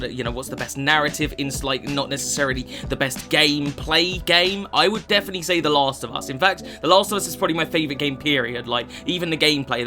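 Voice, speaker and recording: A twenty-one-year-old man, casually answering fan questions for his gaming channel, chatty and sweary, with many restarts. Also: fairly high pitch. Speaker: gaming YouTuber.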